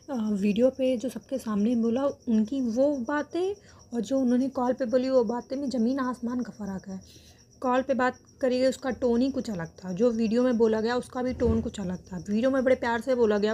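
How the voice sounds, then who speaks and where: A woman's voice: a little high, dark tone. A woman hosting a reaction video, giving opinion commentary to viewers in an earnest way, repeating phrases for emphasis. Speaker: reaction video host commenting on a family controversy.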